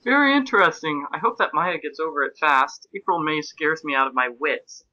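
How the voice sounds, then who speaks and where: A man's voice: fairly high pitch, brisk, bright, forceful tone, highs cut off. A man reading fan fiction and reader comments aloud in a casual, comic podcast style.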